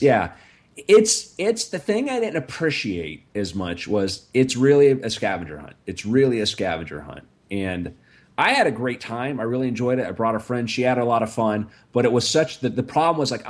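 A man with a raspy, hoarse voice, co-hosting a casual podcast chat and telling an animated story that he slows down for comic effect. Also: mid-range voice. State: hoarse from screaming. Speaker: podcast co-host.

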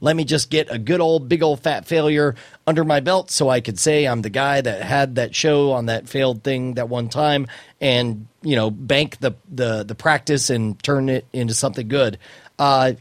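A man's voice: medium pitch, normal speed, dry recording. A man chatting casually as a podcast co-host, joking with theatrical turns of phrase. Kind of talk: casual chat.

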